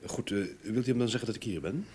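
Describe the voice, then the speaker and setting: An actor playing a self-assured, dry investigator with an authoritative manner in an old radio drama. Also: male voice, mid-range voice, fast talker, a little mellow, lightly acted. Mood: polite, insistent. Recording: noisy old radio play recording.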